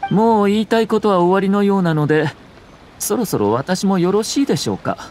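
A man voicing a calm, courteous and calculating young nobleman in an anime-style game, speaking formally with subtle mockery. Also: high voice, slight background noise. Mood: polite mockery. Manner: polite, composed, measured formal speech.

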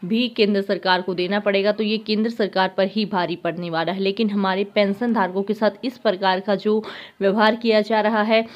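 A woman hosts a news update at a medium pitch. She talks conversationally and pauses to restart her sentences. The recording sounds a little dull and lo-fi. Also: fairly even intonation. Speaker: news channel host.

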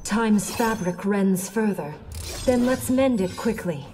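A regal video game warrior princess, cold and defiant. She speaks slowly and deliberately, with a lively swing in pitch that gives her commands a haughty edge. Loud game effects sit behind her voice. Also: noisy background. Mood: stern resolve. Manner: measured, imperious, clipped formal delivery. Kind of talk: dramatic video game acting.

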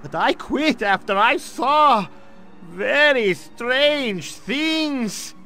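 An actor playing a nervous Soviet scientist in a game, speaking broken English with a Russian accent. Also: male voice, extremely high pitch, lively intonation, drawn-out words, pushed and bright, soft background music, heavily acted. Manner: broken English, drops articles. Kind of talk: video game cutscene acting.

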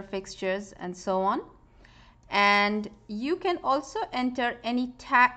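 Woman calmly walking through a spreadsheet. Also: mid-range voice. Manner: calm, explanatory, frequent "uh" fillers. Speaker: software tutorial presenter. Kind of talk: screen-recorded spreadsheet walkthrough.

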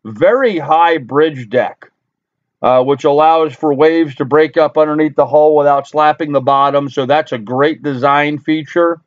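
A man's voice: fairly high pitch, unhurried, dry recording. A middle-aged American man from Long Island, New York, talking viewers casually through what he sees in a rambling, repetitive way. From Long Island, New York, USA. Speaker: vlogger. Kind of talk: casual talk-through commentary. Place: small cluttered wooden-ceilinged room indoors. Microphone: computer webcam at desk distance. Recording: dull webcam microphone sound.

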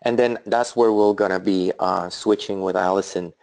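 European accent guy, middle-aged, real low gravelly voice, halting with lots of uh's, webinar talk. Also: steady tone, a little mellow, no room sound. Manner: thoughtful, halting, with frequent fillers and restarts. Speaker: academic leader presenting. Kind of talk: webinar presentation.

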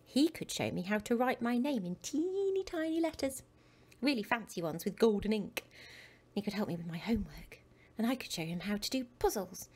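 A woman's voice: medium pitch, expressive, moderate pace, a little mellow, slightly rough. A woman voicing a young elephant boy in a bedtime storybook, childlike and insistent. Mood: eager. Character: young male elephant child, stubborn then friendly.

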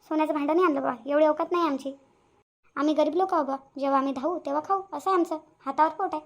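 A woman as a high-voiced humble village grandmother. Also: fairly even intonation, quick pace. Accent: Vidarbha Marathi (Varhadi). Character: humble, plain-spoken poor farming grandmother, visiting in-law. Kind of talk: cartoon family drama dialogue.

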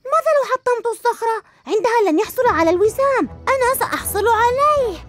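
A voice actor playing an earnest, excitable young boy superhero in a dubbed cartoon. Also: very high-pitched, fast talker. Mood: eager.

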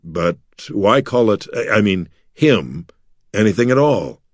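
Man acting a grumbling, low-voiced skeptical adventurer. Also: expressive, unhurried, no echo, studio quality. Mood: baffled. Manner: hissing, grumbling.

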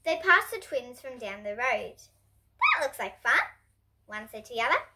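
Casting: preteen girl, high animated voice, expressive storybook reader for little kids. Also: normal speed, heavy background noise. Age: child, about ten to twelve. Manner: expressive, presentational reading to young listeners. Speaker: children's picture book reader, host. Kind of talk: storybook read-aloud for children. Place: indoors at home, in front of bookshelves.

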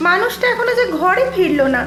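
A woman voicing an anxious, caring village wife in a cartoon, expressive and homely, with a roomy echo. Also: very high-pitched, fast talker, over music. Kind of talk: Bengali cartoon voice acting.

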